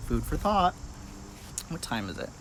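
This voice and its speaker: A man's voice: fairly high pitch, sing-song, unhurried, low-quality recording. Man, late twenties, casual livestream host, familiar chatty delivery, light insect-like background noise. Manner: chatty, informal, addresses viewers familiarly. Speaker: livestream host, practitioner of folk magic. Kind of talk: casual livestream chat with viewers.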